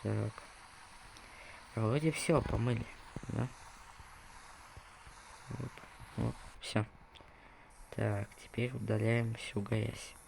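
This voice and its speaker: Slow, gravelly young man narrating gameplay. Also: on the low side, little pitch movement, noisy background. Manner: rambling, self-correcting, talks to viewers. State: recovering from a cough. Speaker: gaming video creator. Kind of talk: casual gameplay commentary.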